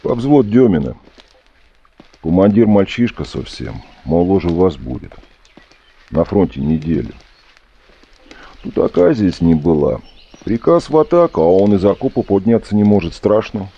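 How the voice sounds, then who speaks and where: An actor playing a middle-aged wartime soldier, fatherly, gruff and fatalistic, speaking in folksy plain words in an audio drama. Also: deep voice, big pitch swings, moderate pace, long pauses, mellow, dull audio, full character voice. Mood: matter-of-fact. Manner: folksy, plain-spoken, steadying.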